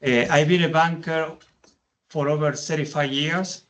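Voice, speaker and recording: A man's voice: fairly high pitch, fairly even intonation, measured pace, a bit bright. A man in his fifties from Argentina with an Argentine Spanish accent, a community loan fund head giving an anecdotal presentation to a board meeting.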